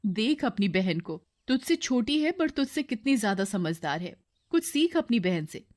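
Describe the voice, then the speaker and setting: A woman's voice: fairly high pitch, sing-song, moderate pace, a little mellow. A woman voicing an anxious, scolding mother in a cartoon moral story, with an urgent, questioning manner.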